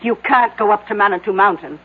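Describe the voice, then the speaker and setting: An actress playing a dutiful, anxious secretary, deferential but insistent, in a radio drama. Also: female voice, medium pitch, fairly quick, slightly rough, close to an everyday voice. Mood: worried, insistent. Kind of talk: 1970s radio drama acting. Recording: muffled, noisy old radio broadcast.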